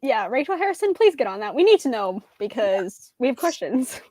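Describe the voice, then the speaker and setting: A woman guesting on a casual book club chat over a video call, agreeable and quick to laugh. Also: on the high side, very animated, warm tone, no echo.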